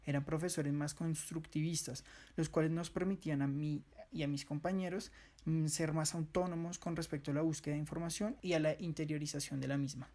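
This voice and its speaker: A man with a fairly high voice reads a first-person narration quickly. He sounds earnest and takes small pauses between phrases. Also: steady tone, warm tone. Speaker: student presenter.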